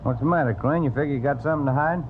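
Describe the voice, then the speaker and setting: Blunt detective partner, played by a male actor, probing questions, tinny vintage radio recording with clear noise. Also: medium pitch, very fast, lightly acted. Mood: accusing. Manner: probing, matter-of-fact. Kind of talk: 1950s radio crime drama acting. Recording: noisy, narrow-band vintage radio recording.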